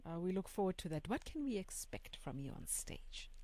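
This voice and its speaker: A woman hosting a radio show, her voice on the low side for a woman. She asks her guest questions in an encouraging way. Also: very animated, moderate pace, a little mellow. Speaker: radio host.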